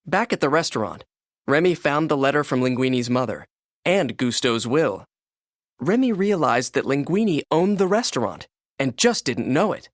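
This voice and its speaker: Male narrator for kids' read-along book, animated delivery with wide pitch movement, dry recording. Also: moderate pace, dry recording. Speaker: storybook narrator.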